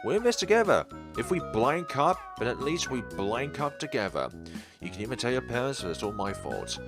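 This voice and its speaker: A man's voice: mid-range voice, big pitch swings, brisk. A man voicing a teasing, reassuring young friend and business partner in visual novel dialogue. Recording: music playing behind the voice.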